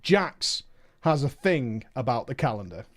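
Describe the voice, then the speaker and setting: A man's voice: mid-range voice, very animated, measured pace. A man streamer reading a viewer's story aloud and breaking in with emphatic, mocking asides.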